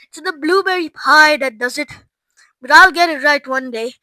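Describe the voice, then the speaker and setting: Woman acting an anxious man, a fretful inventor, high-pitched. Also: normal speed, sharp tone, no room sound. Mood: rueful. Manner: pleading, exclamatory.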